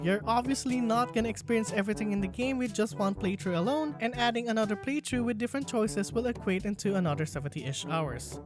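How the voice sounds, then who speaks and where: Woman narrating a game review over music. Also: mid-range voice. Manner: earnest, chatty, a little rambling at the end. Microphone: failing home microphone. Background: background music.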